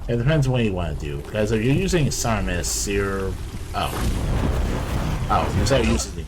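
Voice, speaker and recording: Male gameplay commentator, casual and muttering, animated pitch, noisy recording. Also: fairly quick. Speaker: gameplay video creator.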